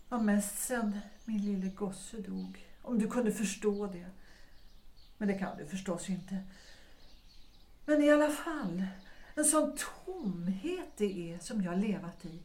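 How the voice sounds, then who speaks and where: An actress playing an anguished, yearning unhappy wife in a period audio drama, speaking fervently with dramatic pauses. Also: female voice, medium pitch, darkish tone, close to an everyday voice. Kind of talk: period stage-play audio drama acting. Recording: roomy echo.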